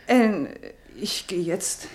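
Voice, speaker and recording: An actress playing a brisk woman visitor in a German radio play. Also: medium pitch, animated, roomy sound. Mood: brisk, guarded.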